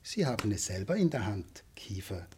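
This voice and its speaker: An actor playing a ruthless, polite businessman villain in a German radio drama, speaking with measured, pompous courtesy that veils a threat. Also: on the low side, very animated, dark tone, slight background noise. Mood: politely menacing. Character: suave ruthless businessman villain, polite, menacing, scientifically zealous. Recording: studio radio drama with music behind.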